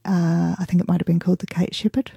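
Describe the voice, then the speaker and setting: A middle-aged woman born in England and raised in New Zealand, reminiscing casually and haltingly as a radio interview guest. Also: on the low side, flat delivery, creaky, mellow, breathy edge, no room sound, clean studio sound. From born in England, grew up in New Zealand. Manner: hesitant, halting, reflective.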